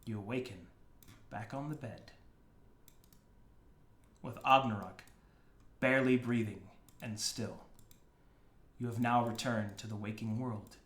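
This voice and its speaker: A man running a tabletop game as game master, narrating slowly and softly. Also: medium pitch, fairly even intonation, reverberant. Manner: slow, hushed narration.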